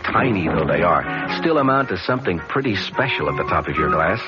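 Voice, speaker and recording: A man's voice: low voice, very animated, brisk. A man reading a scripted radio advertisement as a commercial announcer. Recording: lo-fi radio ad over loud music.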